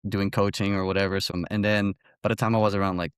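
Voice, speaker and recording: Man, early twenties, from Belgium, low voice, very flat intonation, casual interview storytelling. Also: fast, smooth, no echo, clean studio sound. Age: early 20s. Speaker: college golfer, interview guest.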